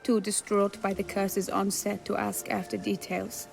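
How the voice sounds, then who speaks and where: An actress playing an earnest, resigned young noblewoman under a curse in a video game, speaking formally in measured, old-fashioned phrases. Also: mid-range voice, little pitch movement, vocal fry, soft background music. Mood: melancholy, confiding. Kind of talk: video game cutscene acting.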